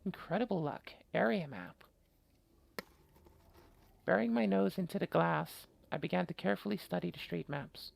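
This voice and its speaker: A game player voicing a wry, self-reproaching female visual novel protagonist who narrates in deliberate, literary first person. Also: medium pitch, gravelly. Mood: wary, resolved.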